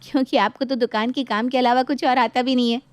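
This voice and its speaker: High-pitched, bossy, taunting younger sister, acted by a woman. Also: fairly even intonation, fast, no echo, close to an everyday voice. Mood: demanding, threatening. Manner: taunting, mock-polite, domineering. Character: greedy, bossy younger married sister, taunting her family. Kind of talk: dramatized Hindi moral story acting.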